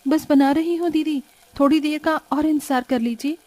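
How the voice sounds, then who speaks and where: A woman's voice: high voice, steady tone, moderate pace, dark tone, no room sound, lightly acted. A woman voicing an overworked, long-suffering young housewife in a cartoon moral story, plaintive and dutiful.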